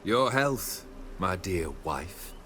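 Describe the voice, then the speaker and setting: Imperious young-blood lord, courtly speech, low animated voice, dangerous edge, faint ambience behind. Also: male voice, extremely slow, slight background noise, heavily acted. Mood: tender, courtly. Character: proud nobleman husband, courtly yet menacing. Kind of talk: dramatic video game voice acting.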